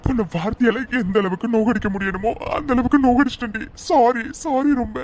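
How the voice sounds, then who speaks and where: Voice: medium pitch, brisk, darkish tone, slight background noise, lightly acted. A performer voicing a remorseful young man apologizing over and over to the woman he is leaving. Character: remorseful young man breaking up with his fiancée.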